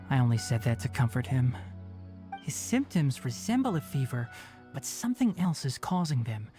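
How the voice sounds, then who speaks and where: A calm young forest ranger speaks briskly and with firm care, voiced by an actor. His delivery rises and falls a lot over a mid-pitched voice. Faint game music plays behind him. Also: lightly acted. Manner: brisk, level-headed and caring. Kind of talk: video game voice acting.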